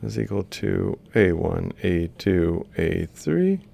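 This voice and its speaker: Low, very creaky male lecturer. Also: very animated, takes it slowly, dark tone. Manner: explanatory, step by step, reciting values piecemeal. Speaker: university lecturer. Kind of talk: instructional screen-capture lecture, thinking aloud while writing.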